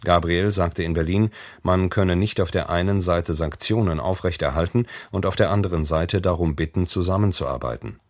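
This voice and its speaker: A man reading the hourly radio news in a formal, even manner. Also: low-pitched, moderate pace, few pauses, dry recording, clean studio sound. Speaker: newsreader.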